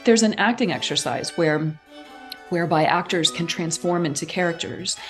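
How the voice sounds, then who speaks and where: Female podcast guest, slightly low voice, expressive conversational delivery, acts out examples, soft music underneath. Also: normal speed. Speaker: podcast guest, artistic director and writer. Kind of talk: conversational explaining.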